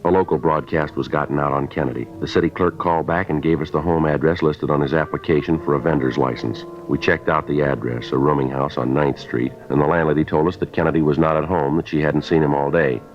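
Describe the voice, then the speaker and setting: A stoic police detective with an extremely low voice, clipped and deadpan. He sounds businesslike in a vintage radio crime drama, with a dull broadcast sound and music behind him. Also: fast talker, hardly any pauses. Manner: clipped, matter-of-fact, deadpan. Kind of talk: 1950s radio crime drama narration and dialogue. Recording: muffled old radio broadcast.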